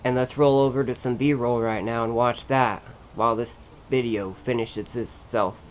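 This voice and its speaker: A man with an average-pitched voice talks as a vlogger giving a casual review. His delivery is plain-spoken and geared to recommending. Faint ambient noise sits behind his voice. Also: fairly even intonation, moderate pace.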